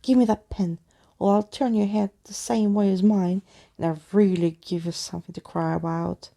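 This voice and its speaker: A woman's voice: mid-range voice, steady tone, normal speed, creaky, mellow. A woman voicing a fierce, scornful girl in a children's storybook, snapping impatiently and hurling insults. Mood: angry, threatening.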